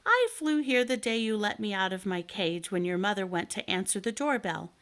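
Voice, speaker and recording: A woman's voice: medium pitch, lively intonation, normal speed, fairly bright tone, close to an everyday voice. Woman voicing a chatty little canary. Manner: polite, talkative. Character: chatty, worried little male pet canary. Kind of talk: children's storybook read aloud.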